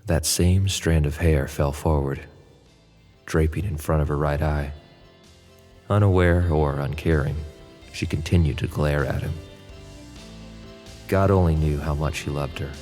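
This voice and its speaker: A man narrating an audio drama in a low, steady voice. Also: measured pace, long pauses, soft background music. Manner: low steady narration, pushier and louder in Nate's lines. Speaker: narrator and actor playing Nate.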